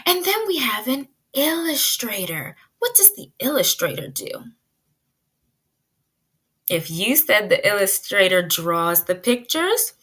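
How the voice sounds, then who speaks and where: A woman teaching pre-kindergarten children in an animated, child-directed way, asking questions as she leads a read-aloud. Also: fairly high pitch.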